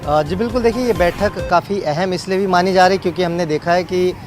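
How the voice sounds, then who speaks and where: A man's voice: high-pitched, brisk, music in the background. A man giving a wordy, unscripted live news report as a field reporter, often repeating set phrases.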